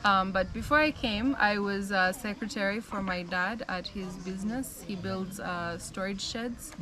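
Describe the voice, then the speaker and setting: A young American woman telling her life story in a relaxed, chatty way, with frequent "um" and "like". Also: mid-range voice, a little slow. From United States. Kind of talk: casual storytelling.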